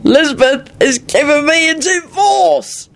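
Woman doing a loud, triumphant drunk man. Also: sits high, expressive, a little slow, vocal fry, voice pushed up, exaggerated voice. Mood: drunken triumph. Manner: shouting, triumphant. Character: staggering drunk man, loudly triumphant and tactless. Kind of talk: audiobook character acting.

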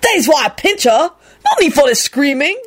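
Lady doing sulky storybook buggy driver guy, broken english, pitched up. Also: very animated, normal speed. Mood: sullen, haughty. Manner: broken English, put-upon.

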